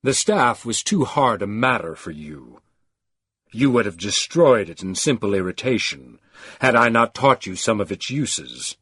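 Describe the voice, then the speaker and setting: Deep resonant menacing presence, voiced by a male reader, slow and commanding, dry close sound. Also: sing-song, fairly bright tone, slightly rough, no room sound, heavily acted.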